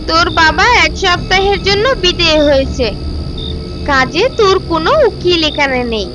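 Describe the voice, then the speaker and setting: Shrill, high-pitched cruel stepmother. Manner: harsh, bossy, contemptuous. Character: cruel, scolding stepmother ordering a girl around. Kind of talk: children's fairy-tale cartoon voice acting.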